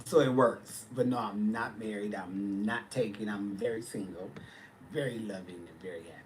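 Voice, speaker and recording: A man's voice: on the low side, moderate pace, noisy background. A man, chatting casually on a livestream and answering viewers' comments with sass. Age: 40s. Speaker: livestream host.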